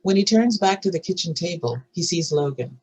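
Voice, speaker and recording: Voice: mid-range voice, expressive, fairly quick, husky, poor audio. A narrator reading screenplay stage directions and scene headings aloud at a scripted table read.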